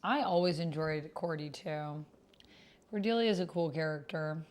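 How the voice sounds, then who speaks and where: A woman with a slightly low voice hosts a tabletop roleplay. She reads the text expressively and drops into casual asides of her own. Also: moderate pace, a little mellow. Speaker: solo tabletop roleplay player and host. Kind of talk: reading aloud text roleplay with casual commentary.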